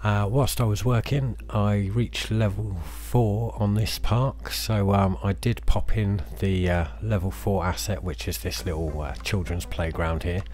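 A man, slightly hoarse from losing his voice, casually talking through his city-building game with lots of fillers. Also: fairly low pitch, unhurried, hardly any pauses. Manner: relaxed, self-deprecating, many fillers. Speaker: let's play host. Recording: music playing behind the voice.

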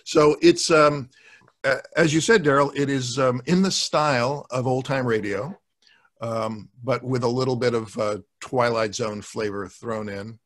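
An older man talks as a guest on a casual video call. His voice sits at a middle pitch, and he explains things in a measured, thoughtful way into a desk microphone. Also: fairly bright tone. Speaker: guest, audio drama producer. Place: indoors in a home office, video call. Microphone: desk microphone with pop filter.

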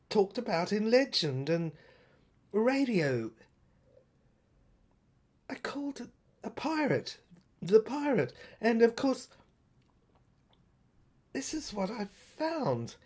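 A high-voiced woman delivers a dramatic first-person monologue. She moves slowly and haltingly, repeating phrases, and her intonation swings widely in a theatrical manner. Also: big pitch swings, a little mellow, slightly rough. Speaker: narrator of an audio screenplay.

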